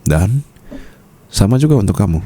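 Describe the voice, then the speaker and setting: A man playing a possessive, doting mafia boss husband in an intimate ASMR roleplay, teasing with endearments in a breathy, gravelly voice. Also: very animated, dark tone, a little hiss or hum. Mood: soothing, protective. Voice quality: very deep, gravelly. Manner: slow teasing endearments, often slipping into breathy whispers. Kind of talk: ASMR boyfriend roleplay, intimate scripted monologue.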